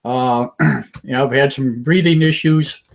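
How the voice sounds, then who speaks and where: An older man with a raspy voice, hosting a call-in radio talk show in an easygoing way full of filler "uh"s and small chuckles. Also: mid-range voice, fairly even intonation, measured pace. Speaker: host.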